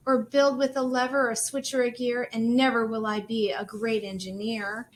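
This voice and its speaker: A woman's voice: a little high, level tone, moderate pace, natural voice. A woman voicing a shy, easily discouraged young girl inventor in a storybook, plaintive and emphatic. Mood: dismayed.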